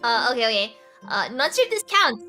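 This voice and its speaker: A woman's voice: on the high side, very animated, brisk, sharp tone, faint music behind. A woman guesting on a chat stream, reading viewer stories aloud with frequent fillers.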